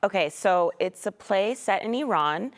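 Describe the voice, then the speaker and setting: A woman's voice: medium pitch, animated, measured pace, a crowd in the background. A young woman actor being interviewed on a studio couch, talking thoughtfully with frequent "um" and "like". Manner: thoughtful, uses fillers like um and like. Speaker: actor guest. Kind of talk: casual interview chat.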